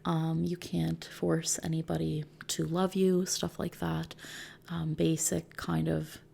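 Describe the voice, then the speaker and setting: Soft-spoken, very creaky-voiced ASMR woman. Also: sits low, level tone, slow. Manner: gentle, reassuring, checking in with the listener. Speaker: ASMR roleplayer playing a customization service consultant.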